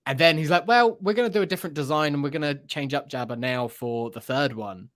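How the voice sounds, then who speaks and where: A man's voice: fairly high pitch, fast, fairly bright tone. A man giving his opinions in a casual, conversational film discussion as a podcast co-host.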